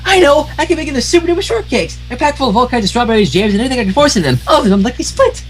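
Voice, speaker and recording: A man voicing a hyper, cheerful young female pony baker who rambles in a high squeaky voice. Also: very animated, fast talker, faint music behind. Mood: excited. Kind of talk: amateur reading of fan fiction.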